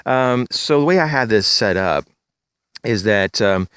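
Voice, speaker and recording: A man with a slightly raspy, congested voice, casually reviewing his backpack outdoors, rambling with many fillers and clearing his throat. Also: lowish voice, lively intonation, a little slow, a bit bright, dry recording, studio quality. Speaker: gear reviewer, channel host. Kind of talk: casual show-and-tell gear review. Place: outdoors in leafy woods, bag on a chair.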